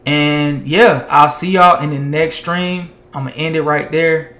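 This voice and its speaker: A man streaming tech content live, talking loosely and chatting with viewers, his voice tiring and going hoarse after hours of talk. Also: a little high, fairly even intonation, measured pace, bright, forceful tone, roomy sound. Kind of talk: casual live-stream chat.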